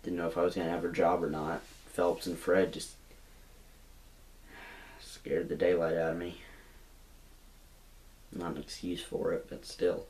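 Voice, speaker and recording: A man's voice: deep voice, little pitch movement, measured pace, long pauses, darkish tone. A young man plays a glum young jailbird in a mockumentary comedy, rambling with hesitant restarts, recorded by a camera in a small bedroom. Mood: resigned. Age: young adult.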